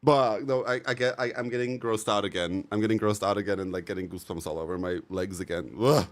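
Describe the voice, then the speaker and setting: Male streamer, lowish pitch, loose animated chat with his viewers, cursing and laughing freely. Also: fast. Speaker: live streamer. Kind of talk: casual chat with live viewers.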